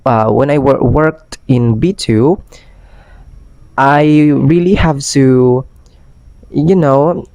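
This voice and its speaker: A young Filipino man chats to his channel in a hoarse voice, worn rough by a cold. His pitch sits in a middling range for a man, and he drifts between English and Tagalog with plenty of fillers. The sound is dry and close, with no room echo. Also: extremely slow, pauses often, darkish tone. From Philippines. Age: young adult. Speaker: vlogger and part-time ESL tutor. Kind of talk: casual vlog talk.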